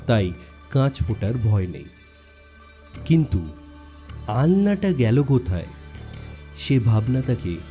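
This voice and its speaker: A man narrating a scripted suspense story in a measured, dramatic way with deliberate pauses. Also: lowish voice, dark tone. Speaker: audiobook narrator.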